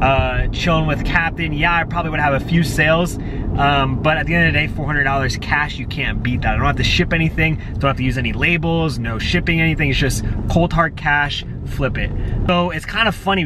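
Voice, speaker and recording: Young male vlogger, casual reseller chat, noisy car-and-street ambience. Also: on the high side. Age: young adult. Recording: clear outdoor and vehicle noise.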